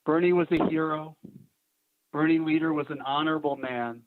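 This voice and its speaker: A man's voice: on the high side, steady tone, moderate pace, dry recording. A man, a legislator, delivers a solemn prepared tribute. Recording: tinny, dry phone-like line.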